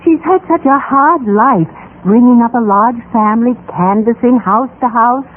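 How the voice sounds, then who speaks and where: An actress playing a genteel, soft-hearted, hospitable housewife with a polite, fluttery, gently pleading manner, in 1950s radio drama style. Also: female voice, fairly high pitch, moderate pace, narrow, tinny audio, natural voice. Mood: sympathetic, pleading. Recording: band-limited old radio broadcast, background noise.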